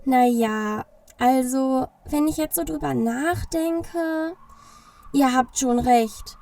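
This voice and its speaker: A woman's voice: high voice, slow, dark tone, far from the mic. A woman playing a grumpy, sneaky male Christmas elf in an audio play, bossy and grumbling. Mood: sheepish.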